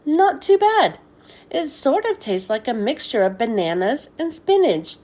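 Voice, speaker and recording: Woman voicing an earnest, squeaky young schoolboy. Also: big pitch swings, a little slow, mellow, exaggerated voice. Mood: pleased, puzzled.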